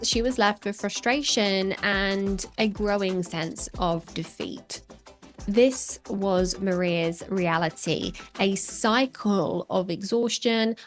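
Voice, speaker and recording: A woman hosts a podcast at a mid pitch, first narrating a scripted intro and then gently drawing out her guest with encouraging questions. Faint music plays softly underneath. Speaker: podcast host.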